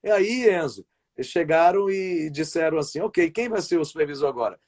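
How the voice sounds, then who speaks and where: Chatty Brazilian man with a higher voice. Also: animated, fairly quick, a little mellow. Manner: rambling, restarts often, tags sentences with "né" and "sabe". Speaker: wrestling commentator and play-by-play announcer. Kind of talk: casual interview chat over a live stream. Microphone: video call.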